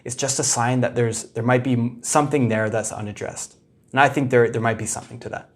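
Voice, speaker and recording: A young man talking in a casual, loose way as he comments on a clip. He hesitates, restarts and laughs often, with a middle-pitched voice. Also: steady tone, fairly quick. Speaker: commentator, video host.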